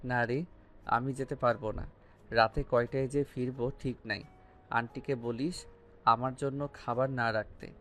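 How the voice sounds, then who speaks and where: An actor playing a possessive, earnest young student in love in a romantic audio story. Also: male voice, mid-range voice, fairly even intonation, a little slow, a bit bright, close to an everyday voice. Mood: casual.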